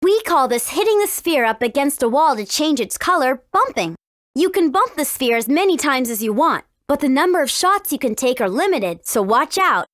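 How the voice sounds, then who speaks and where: High lively teen princess for a video game, brave n earnest, very dry close sound. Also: female voice, moderate pace, dry recording. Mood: explaining, earnest. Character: brave, friendly, earnest teenage warrior princess. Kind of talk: scripted video game character dialogue.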